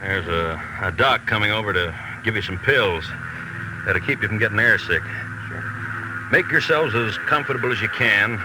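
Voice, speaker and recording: Lieutenant colonel, male actor, lowish and reassuring, 1940s radio drama with clear background noise. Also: expressive, moderate pace, natural voice. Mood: calm, reassuring. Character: calm, fatherly lieutenant colonel settling his troops. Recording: noisy old radio recording, engine-like ambience.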